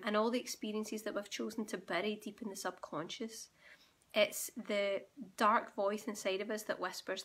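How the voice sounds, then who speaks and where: Croaky woman teaching to camera. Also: medium pitch. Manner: gentle, explanatory, addressing viewers directly. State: croaky, sore throat. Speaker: spiritual awakening guide and channel host. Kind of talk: direct-to-camera teaching monologue.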